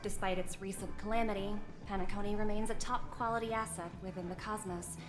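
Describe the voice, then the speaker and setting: A woman's voice: mid-range voice, steady tone, brisk, lightly acted. Businesslike woman financier over game music an actress. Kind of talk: voiced video game dialogue. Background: background music.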